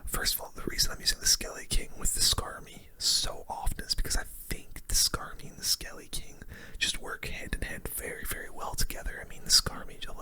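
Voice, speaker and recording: Casting: ASMR gamer for play-by-play, fully whispered, crisp sibilant brightness, casual slangy muttered reactions. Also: very high-pitched. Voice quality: breathy unvoiced whisper. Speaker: gaming video host. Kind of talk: whispered ASMR gaming commentary.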